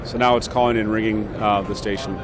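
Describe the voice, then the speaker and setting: A man's voice: on the low side, steady tone, a little fast, a crowd in the background. A man giving an informal product demonstration, explaining step by step in a conversational way on a trade show floor. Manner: conversational, checks in with "right?". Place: trade show exhibition hall.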